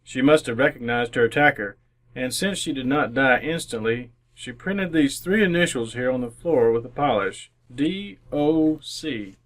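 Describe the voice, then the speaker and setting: A man playing a shrewd, methodical police inspector in an old-time radio mystery, with a measured, formal way of questioning. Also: medium pitch, lively intonation, lightly acted. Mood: probing, formal. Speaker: amateur radio actor.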